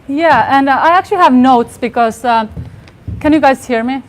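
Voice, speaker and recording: Soft-voiced woman giving a workshop talk. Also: high-pitched, normal speed, poor audio. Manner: earnest, many fillers and restarts. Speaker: workshop speaker. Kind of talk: conference talk. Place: live workshop room with audience.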